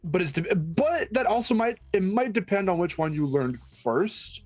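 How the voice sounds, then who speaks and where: A man's voice: high voice, animated, normal speed, no echo, faint music behind. A man from the United States chatting on a stream, rambling and joking with frequent restarts. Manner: rambling, jokey, restarts often. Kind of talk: casual chat.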